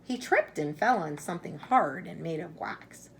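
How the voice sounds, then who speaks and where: Low-voiced, creaky woman reading stories aloud. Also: expressive, moderate pace, a little hiss or hum. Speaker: storyteller reading a children's picture book. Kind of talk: expressive read-aloud storytelling with casual introduction.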